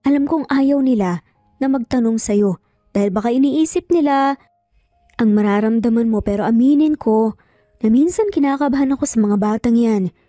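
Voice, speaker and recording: A woman's voice: a little high, normal speed, warm tone, dry recording, studio quality, faint music behind, close to an everyday voice. A woman voicing a caring, teasing Filipino older sister in a story, chatty and confiding.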